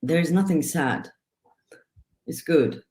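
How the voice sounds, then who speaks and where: A woman writer from Turkey, whose first language is Turkish, speaking on a panel in a reflective, halting way with short laughs between phrases. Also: low voice, mellow. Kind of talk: panel discussion. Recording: roomy hall sound.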